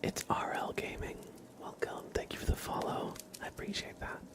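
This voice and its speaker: A man hosting an ASMR livestream, talking in a gentle, reassuring, soothing way. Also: normal speed. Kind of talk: whispered ASMR, soothing affirmations and chat to viewers.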